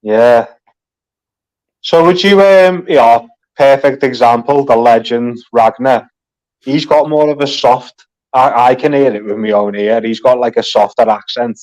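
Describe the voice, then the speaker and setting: A man's voice: medium pitch, measured pace, a little mellow, low-quality recording. A man with a Liverpool accent talking in a loose, rambling way in a casual chat, swearing freely. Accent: Liverpool (Scouse). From England. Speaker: guest.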